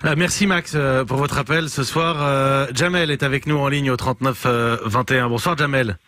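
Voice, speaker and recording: A man hosting a radio call-in show, introducing callers in a brisk, polite way with fillers. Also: mid-range voice, steady tone, sharp tone, no echo. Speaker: radio host. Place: radio studio.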